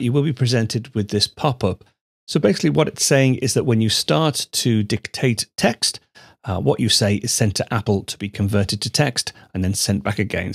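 A man hosting a computer tutorial, explaining steps in a measured, steady way on a studio microphone. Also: medium pitch, vocal fry, husky, no room sound. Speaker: tutorial host. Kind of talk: screencast software tutorial narration.

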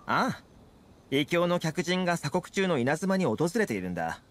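Smooth, lively young male fixer. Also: a little high, moderate pace. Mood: easygoing, friendly. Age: young adult. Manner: smooth, persuasive, explanatory. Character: easygoing, confident young fixer with friendly, smooth-talking charm. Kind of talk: Japanese video game voice acting.

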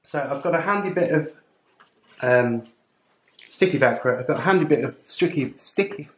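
A man's voice: medium pitch, expressive, moderate pace. A man giving a chatty, informal step-by-step tutorial while working with his hands. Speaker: presenter of a motorcycle gear channel. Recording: roomy indoor sound, clear background noise, clicks.

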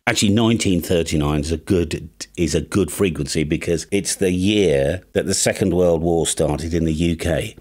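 A British man in his fifties hosting a tongue-in-cheek tutorial to camera in an animated, mock-serious way. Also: sits low, moderate pace. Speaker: video host. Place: small home studio with acoustic panels.

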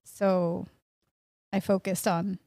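Mellow-voiced woman in casual conversation. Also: lowish voice, flat delivery, slow pace, gravelly, no echo, studio quality. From Miami, born in Mexico. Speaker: podcast guest, pastry chef and bakery owner. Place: small indoor podcast room. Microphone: close studio mic on boom arm, headphones.

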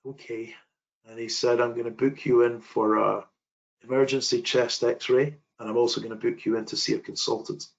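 A man's voice: medium pitch, gravelly, muffled recording. A British man in his thirties presenting a webinar from his home office, telling a personal story in an earnest, reflective way with some hesitations. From United Kingdom. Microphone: video call webcam.

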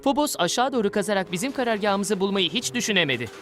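A man's voice: high voice, expressive, fast, fairly bright tone. A man playing a serious, cautious young rebel leader in a cartoon dub, earnest and informative. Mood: cautious, grave. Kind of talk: dubbed cartoon acting.

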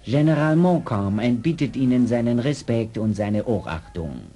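An actor playing a courteous French military envoy in a German audio drama, ceremonious and polite. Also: male voice, mid-range voice, moderate pace, warm tone, natural voice.

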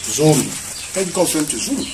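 A man's voice: a little high, animated, a little slow. A man, a pastor giving commanding instructions and prayers during a deliverance. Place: open-sided yard outdoors. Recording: noisy phone video with outdoor bird and ambient sounds.

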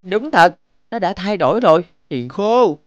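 A woman's voice: mid-range voice, lively intonation, unhurried, a little mellow, no echo, muffled recording, natural voice. A woman voicing a greedy, overconfident mouse in a cartoon fable, brash and dismissive. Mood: smug. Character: greedy, overconfident yellow mouse, impatient and scornful.

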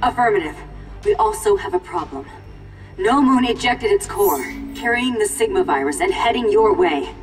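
An actress playing a brisk, military-minded superhero commander in a video game, formal and crisp in delivery. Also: female voice, fairly high pitch, sing-song. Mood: urgent, official. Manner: formal, radio-procedure crisp. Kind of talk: video game cutscene acting. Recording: distant, long reverb, faint music.

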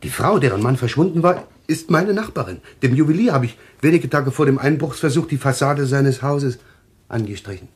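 An actor in a German radio play playing an anxious, troubled man haunted by visions, speaking haltingly with a husky voice. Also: mid-range voice, expressive. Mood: haunted, confused. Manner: halting, haunted, repeating himself.

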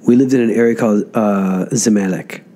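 A man's voice: fairly low pitch, fairly even intonation, a little fast, dark tone. A man, a comedian and podcast host, telling anecdotes casually and repeating phrases for emphasis. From Egypt until age eight, then America. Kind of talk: casual conversational storytelling.

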